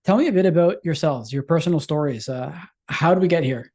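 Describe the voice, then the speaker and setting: A man hosts the interview in a slightly raised, warm and mellow voice. He asks friendly questions and slips in light jokes. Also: a little high, a little fast. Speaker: interviewer, executive director. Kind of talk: interview hosting.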